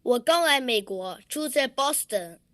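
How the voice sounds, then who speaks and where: Speaker reading a prepared statement, slightly high, dry, short recited lines. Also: female voice, animated, measured pace, fairly bright tone, dry recording. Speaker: student public speaker.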